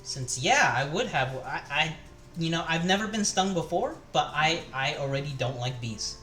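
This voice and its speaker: A man's voice: a little high, expressive, moderate pace, bright, forceful tone. A young man chatting casually while he cooks on a live stream, rambling with lots of fillers and restarts. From Taiwan. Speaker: cooking streamer. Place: home kitchen. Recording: echoey room with music. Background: background music and chopping.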